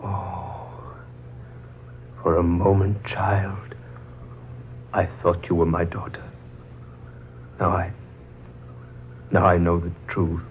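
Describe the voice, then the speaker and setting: An actor playing a dazed, halting and indignant man who speaks as a long-dead father in a 1940s radio drama. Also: low voice, little pitch movement. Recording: muffled, noisy 1940s radio recording.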